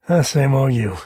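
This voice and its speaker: An actor voicing a wry, easygoing space ranger and father in a video game, with a husky, raspy voice and a folksy drawl. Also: mid-range voice, mellow, dry recording, heavily acted. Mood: warm, sincere. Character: laid-back frontier space ranger, doting father, wry and plainspoken. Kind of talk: video game character dialogue.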